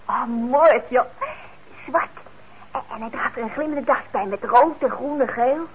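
A dull old radio drama recording with faint noise features a woman playing a teenage sister. Her voice is high and girlish, and she chatters with an eager, teasing, caring manner. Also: a little slow, close to an everyday voice. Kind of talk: naturalistic radio play acting in Dutch.

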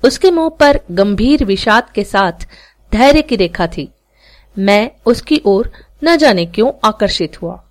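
Slow, expressive woman storyteller. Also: medium pitch. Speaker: story narrator, podcast reader. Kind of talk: expressive scripted short story narration.

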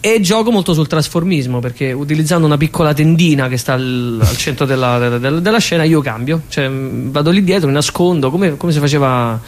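A man talking casually as an interview guest, an animated storyteller who often checks in with short tag questions. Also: a little high, a little fast, hardly any pauses. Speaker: interview guest, theatre actor and writer.

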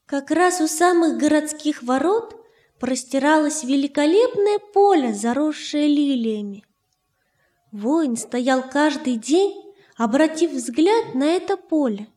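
Female narrator, high voice, scripted children's storytelling, measured and expressive, roomy reverb. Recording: roomy reverb, faint music behind.

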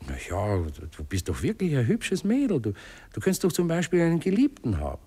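An actor playing a dreamy, philosophizing gentleman with a husky voice and Viennese accent, musing haltingly in a 1960s radio play. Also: fairly high pitch, huge pitch swings, heavily acted. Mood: earnest, probing. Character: dreamy, philosophizing gentleman waking after a night out.

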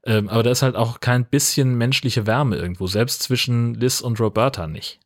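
A man's voice: medium pitch, normal speed. A man co-hosting a podcast, talking through a film in a casual, colloquial and dry way. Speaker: podcast co-host. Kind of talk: casual film recap and chat.

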